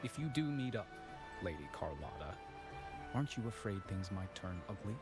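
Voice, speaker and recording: An actor voicing a guarded bartender informant in a video game, wry and deliberate with quiet menace and formal wording. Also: male voice, deep voice, very animated. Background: game music.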